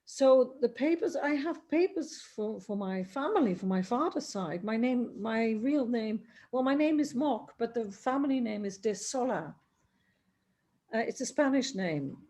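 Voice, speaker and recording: A woman's voice: fairly high pitch, animated, measured pace, mellow. A middle-aged woman from the Netherlands, a singer and writer, telling her family story in a rambling way with many restarts, speaking from home. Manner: rambling, restarts often, trails off. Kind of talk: remote interview, conversational storytelling. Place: home in Dublin, near a busy road.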